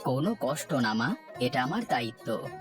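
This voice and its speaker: A man plays a hardworking village father in an animated story. His medium-pitched voice is gentle and reassuring, that of a dutiful son and caring dad. Music plays clearly behind him. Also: moderate pace, lightly acted. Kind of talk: Bangla cartoon voice acting.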